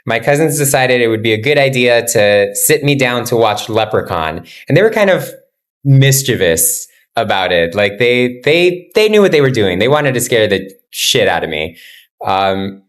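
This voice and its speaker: A young adult man from Miami, a podcast guest telling stories in an animated, laughing way. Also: mid-range voice, moderate pace. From Miami, USA. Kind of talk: casual chat.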